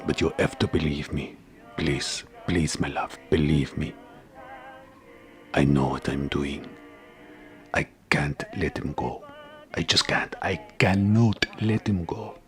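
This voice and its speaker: An actor playing an anxious, secretive boss in an audio drama, stammering and dropping into hushed tones. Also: male voice, very deep voice, slow pace, pauses often, faint music behind. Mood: pleading, hushed. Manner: stammering, flustered, lapsing into hushed whispers. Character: anxious, secretive boss of a janitor, devoted husband. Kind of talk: theatrical audio drama phone monologue.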